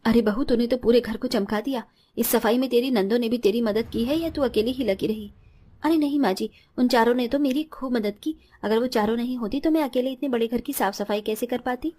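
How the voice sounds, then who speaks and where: Woman playing earnest, higher-voiced homely family women. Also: a little fast, dark tone, natural voice. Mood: pleased. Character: caring, firm mother-in-law and gentle, dutiful daughter-in-law. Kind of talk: Hindi cartoon story dialogue.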